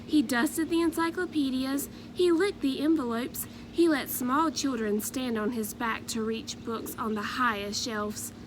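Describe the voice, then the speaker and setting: A woman reading a children's storybook aloud in an expressive, measured narrating manner. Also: sits high, background noise. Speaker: storybook reader.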